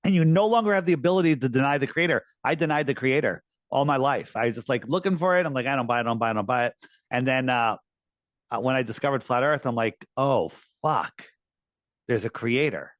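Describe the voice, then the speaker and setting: A man speaking as a podcast guest, earnest and emphatic, his voice worn and going hoarse. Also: quick pace, dry recording, clean studio sound. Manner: earnest, emphatic, rising pitch on key points. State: losing his voice. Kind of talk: conversational interview talk.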